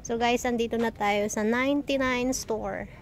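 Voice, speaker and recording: A woman's voice: a little high, steady tone, moderate pace. A Filipino woman in her thirties with a Filipino accent, chatting casually to a phone as a vlogger. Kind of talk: casual chat to camera. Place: inside a car and a discount store. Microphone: handheld phone at arm's length. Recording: clear ambient car and store noise.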